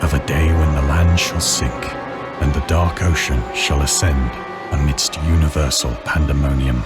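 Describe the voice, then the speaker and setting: An actor playing a tormented ship officer in a horror game, narrating in a grave, formal, brooding way. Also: male voice, rumbling low, fairly even intonation, normal speed. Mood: brooding dread. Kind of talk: dramatic literary horror narration. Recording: loud music behind the voice. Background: game music.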